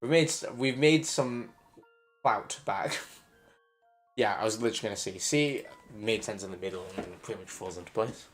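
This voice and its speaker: A man's voice: on the low side, normal speed, faint music behind. Man mumbling through a puzzle game. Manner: muttering, reading puzzle text aloud. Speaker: game player. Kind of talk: let's play commentary.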